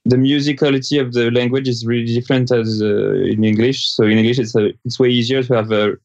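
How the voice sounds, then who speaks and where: A French-accented man pauses and gropes for the right words as he answers, his thoughts coming out in halting pieces. His voice sits at an ordinary middle pitch and arrives dull and lo-fi, as if over a video call. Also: fairly even intonation, a little mellow, no room sound. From France. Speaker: guest musician. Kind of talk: remote interview answers.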